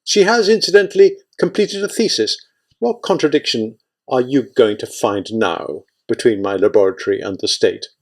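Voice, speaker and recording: A man voicing a proud, indignant male scientist in an audiobook, forceful, exasperated and emphatic. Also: mid-range voice, lively intonation, normal speed. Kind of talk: audiobook character acting.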